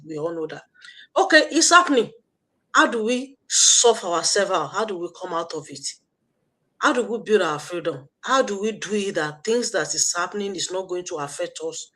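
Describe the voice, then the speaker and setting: A woman's voice: medium pitch, a bit bright, low-quality recording. A woman with a Nigerian accent hosting an online live stream, giving a motivational talk with a preachy, repetitive manner and frequent fillers. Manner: preachy, repeats points, thinks aloud with fillers.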